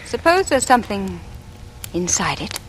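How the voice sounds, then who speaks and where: A woman's voice: mid-range voice, very animated, normal speed, lightly acted. An actress in a vintage radio drama playing a suspicious, probing Englishwoman with an intense, clipped manner. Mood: urgent suspicion. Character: earnest, suspicious, probing bespectacled young Englishwoman. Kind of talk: 1940s radio acting. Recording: noisy vintage radio recording.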